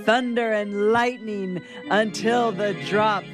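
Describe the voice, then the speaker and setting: Very loud music plays under a man narrating a radio drama. He reads the stage directions at a slow, deliberate pace in a scripted, theatrical style. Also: high voice, fairly even intonation.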